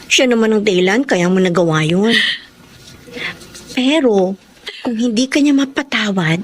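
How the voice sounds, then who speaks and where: A woman acting a pragmatic, meddling female friend in a Filipino radio drama, pointed and expressive. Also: medium pitch, a little slow, natural voice. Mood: coaxing, blunt.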